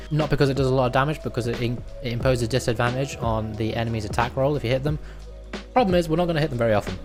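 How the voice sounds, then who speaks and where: A man with a hoarse voice, casually walking through a game build step by step, with plenty of fillers. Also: medium pitch. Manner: chatty, explains step by step with many fillers. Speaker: gaming video creator. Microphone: desk microphone. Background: background music.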